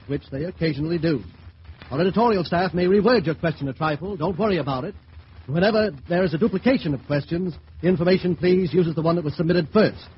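A man announcing a vintage radio program in a polished, declamatory sales style. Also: sits high. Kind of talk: 1930s-40s radio announcing. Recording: noisy, dull vintage radio recording.

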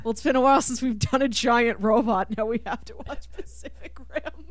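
A woman's voice: on the high side, fairly quick. A woman hosting a podcast, chatting casually about pop culture and laughing readily. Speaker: podcast host.

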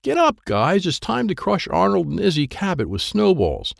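A man's voice: high-pitched, huge pitch swings, normal speed, vocal fry, dry recording, studio quality, voice pushed up, heavily acted. A man voicing a stubborn, bossy, sporty older girl in a children's audiobook. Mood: bossy, excited. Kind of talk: children's audiobook character dialogue.

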